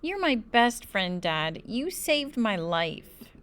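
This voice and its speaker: Woman voicing an anxious little boy, high and dramatic. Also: very animated, slow, a bit bright, full character voice. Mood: sniffly, earnest. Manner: squeaky, breathless worrying. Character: anxious young boy, dramatic, imagining disasters. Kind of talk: children's storybook read-aloud.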